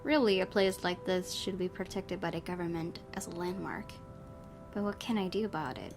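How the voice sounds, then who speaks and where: A woman's voice: lowish voice, moderate pace. A woman hosting a let's play, reading a horror visual novel aloud and adding casual commentary. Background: music.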